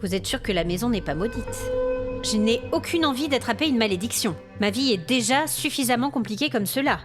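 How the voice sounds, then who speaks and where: A woman's voice: a little high, expressive, brisk, music in the background, lightly acted. A woman playing an exasperated, haughty hotel guest with complaints, in an acted audio fiction monologue. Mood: wary, exasperated. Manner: haughty, aggrieved, demanding. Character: indignant, exasperated female hotel guest complaining about ghosts.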